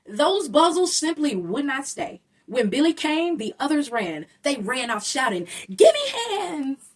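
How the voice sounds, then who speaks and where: A woman's voice: high-pitched, big pitch swings, measured pace, far from the mic, a little hiss or hum. A woman reading a rhyming children's story aloud, expressively and with dramatic emphasis. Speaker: storybook reader.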